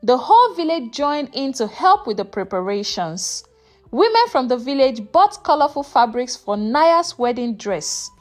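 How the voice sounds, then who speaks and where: A woman reading a scripted folktale as a storyteller, in an expressive, dramatic way. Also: high-pitched.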